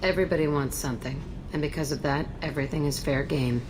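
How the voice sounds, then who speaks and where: An actress playing a defiant, hardened young ex-gang convict in a video game, blunt and cynical. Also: female voice, sits low, quick pace, natural voice. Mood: boastful, cynical. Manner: blunt, cocky, dismissive. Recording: noisy game ambience.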